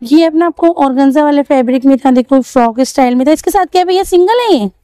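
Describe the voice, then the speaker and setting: High-voiced woman touring a street market. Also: fast talker, mellow, no echo. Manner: chatty, repeats prices, asks shopkeepers. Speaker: market vlogger. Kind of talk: walking market vlog showing clothes and prices. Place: busy outdoor street market.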